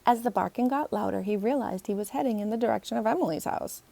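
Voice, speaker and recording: A woman, an author, reading her children's book aloud in an expressive storybook narration. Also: fairly high pitch, fast, creaky.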